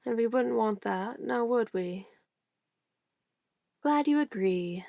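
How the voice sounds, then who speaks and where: A woman's voice: a little high, animated, slow, gravelly, dry recording, studio quality, exaggerated voice. A woman playing an obsessive, possessive witch in an ASMR roleplay, sweetly menacing and cooing in an intimate, theatrical way. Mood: patient, menacing. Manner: intimate, cooing, theatrical, shifting between soft whispers and firm commands.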